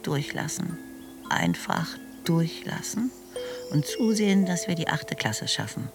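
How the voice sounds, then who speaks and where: A woman's voice: sits low, sing-song, a little slow, vocal fry, heavily acted. A woman playing a reflective first-person narrator in a German radio drama, recalling school days in a plain, understated way. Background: music.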